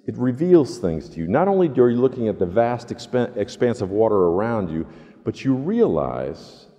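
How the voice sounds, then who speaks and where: An older man delivers a sermon in a space with long reverb. His voice is soft-edged and mellow, and his pitch moves freely as he earnestly stresses and repeats his phrases. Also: very animated. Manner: earnest, deliberate emphatic repetition. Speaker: pastor preaching. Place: large church sanctuary, indoors.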